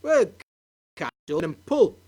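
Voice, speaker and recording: A woman voicing a gleeful, mischievous farmer husband who talks in a loud, smug, teasing way. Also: on the low side, very animated, slow, exaggerated voice.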